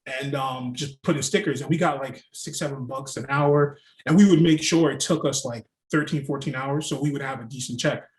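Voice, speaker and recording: A man's voice: medium pitch, brisk, hardly any pauses. A man, a podcast guest telling stories in a rambling way, full of "like". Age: 30s. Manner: storytelling, rambling, with frequent "like" fillers. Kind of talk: casual podcast conversation. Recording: roomy echo.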